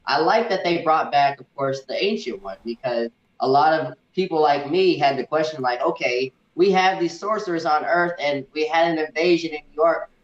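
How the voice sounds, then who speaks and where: A man in a casual movie review chat, talking as an enthusiastic fan and often repeating words haltingly. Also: on the high side. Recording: echoey room, dull muffled audio.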